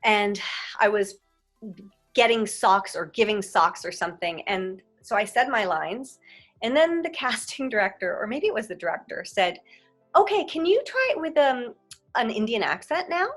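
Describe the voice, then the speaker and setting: A woman host carries a relaxed interview, chatting and telling stories from her own work. Her pitch stays in the ordinary middle range. Quiet music runs faintly behind her. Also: normal speed. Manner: warm, chatty, storytelling. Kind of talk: casual conversation.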